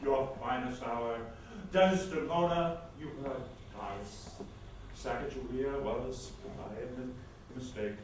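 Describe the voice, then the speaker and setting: A man's voice: medium pitch, measured pace, lightly acted. A man playing a pompous, scheming theatre producer in a screwball comedy reading, grandiose and theatrical in manner. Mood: melodramatic, cajoling. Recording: very echoey hall, far microphone, noise.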